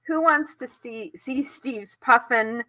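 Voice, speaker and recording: A woman's voice: fairly high pitch, slow, bright, forceful tone. An older woman with an American accent hosting a craft tutorial live from home, chatting casually as she demonstrates. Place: indoors at home.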